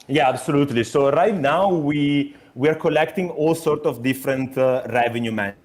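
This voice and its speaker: Italian man, halting startup founder. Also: mid-range voice, a little mellow. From Italy. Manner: hesitant, many restarts and fillers. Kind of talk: conversational interview.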